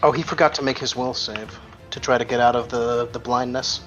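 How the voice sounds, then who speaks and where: A man's voice: mid-range voice, fairly even intonation, brisk, fairly bright tone, highs cut off. A man casually narrating and refereeing a tabletop roleplaying game as game master. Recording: music playing behind, limited band. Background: background music.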